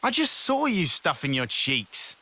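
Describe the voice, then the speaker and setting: A woman's voice: deep voice, huge pitch swings, measured pace, pushed and bright, heavily acted. A woman playing a blunt young fighter in a video game, informal. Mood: reproachful. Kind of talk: video game cutscene acting.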